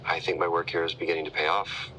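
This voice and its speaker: A man acting a weary, worried space-station medical officer in a video game, speaking a personal log haltingly in a gravelly voice. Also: deep voice, little pitch movement, background noise, lightly acted. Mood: concerned, measured. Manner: halting, hesitant delivery.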